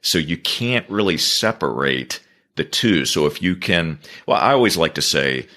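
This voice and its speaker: A man answering as a guest on a business podcast, earnest and measured, building his points in repeated phrases. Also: deep voice, lively intonation, sharp tone.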